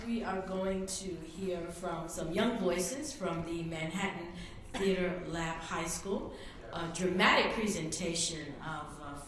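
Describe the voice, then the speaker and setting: A woman presenting the next act at a public event. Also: lowish voice. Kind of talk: public introduction. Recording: very echoey hall, distant mic, faint noise.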